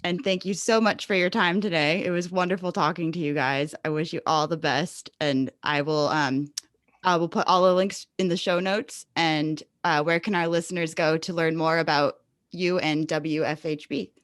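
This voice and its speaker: Effusive woman host, slightly low voice. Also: steady tone, moderate pace, no room sound, studio quality. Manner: effusive, encouraging. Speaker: podcast host. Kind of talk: casual interview.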